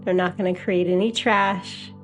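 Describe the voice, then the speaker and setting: A woman guiding a meditation as a hypnotherapist, with a soothing, gentle, unhurried delivery. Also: fairly low pitch, steady tone, faint music behind. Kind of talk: guided meditation.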